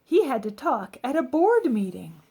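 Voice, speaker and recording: Woman reading as a friendly, lively storybook mother. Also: high voice, moderate pace, slightly rough, exaggerated voice. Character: friendly, chatty expectant mother in children's storybook.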